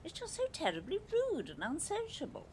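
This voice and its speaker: Older haughty grandmother, audio drama, prim, complaining, very animated pitch, slight background noise. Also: brisk. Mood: indignant scolding. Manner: imperious, complaining, formal diction. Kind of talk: audio play acting.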